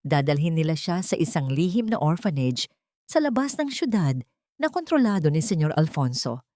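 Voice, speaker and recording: A woman's voice: low voice, big pitch swings, moderate pace, warm tone, no room sound, studio quality. A woman with a Filipino accent voicing a steadfast, intense man in a melodrama, earnest and resolute. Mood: tense, urgent. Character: steadfast, intense man plotting revenge, devoted lover.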